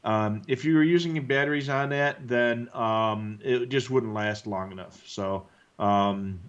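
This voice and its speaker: A man co-hosting a live stream, explaining things in a conversational, halting way as he answers viewers. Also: mid-range voice, clear, resonant tone, muffled recording. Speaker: co-host answering viewer questions.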